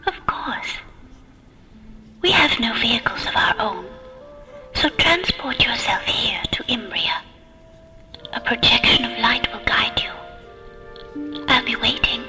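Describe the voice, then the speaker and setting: Whispering, serene alien priestess. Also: female voice, fairly high pitch, expressive. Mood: gentle, inviting. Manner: breathy, slow, formal, deliberate. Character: serene otherworldly alien sky priestess, female, kindly. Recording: radio drama with music underneath.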